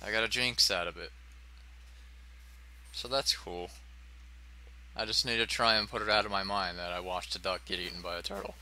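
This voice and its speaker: An American man from Ohio giving casual, rambling, profane gameplay commentary in a monotone manner. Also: low-pitched, moderate pace, pauses often, poor audio. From United States, Ohio. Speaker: let's play host. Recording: game music behind the voice. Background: video game music.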